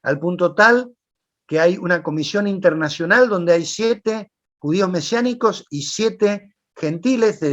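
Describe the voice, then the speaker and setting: High-voiced man, lo-fi. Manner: relaxed, with fillers like "este". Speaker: host. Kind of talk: introducing an online talk.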